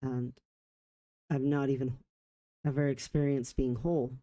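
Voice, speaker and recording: A man of about forty talking reflectively in a personal vlog, halting in short phrases with pauses. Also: mid-range voice, flat delivery, dark tone, highs cut off. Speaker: vlogger.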